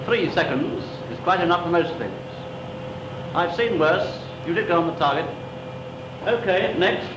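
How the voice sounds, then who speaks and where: A dry, practical gunnery instructor corrects trainees in a matter-of-fact tone. His voice sits a little high, with roomy echo and steady hiss from the old soundtrack. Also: unhurried. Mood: instructive. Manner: matter-of-fact, mildly reproving. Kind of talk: 1940s training film acting. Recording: echoey, hissy 1940s film soundtrack.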